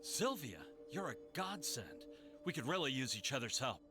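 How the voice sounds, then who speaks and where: A man's voice: medium pitch, sing-song, moderate pace, bright, forceful tone. A man playing a desperate, guilt-ridden monster hunter in a video game, earnest and pleading. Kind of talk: dramatic video game voice acting. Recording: music behind the voice.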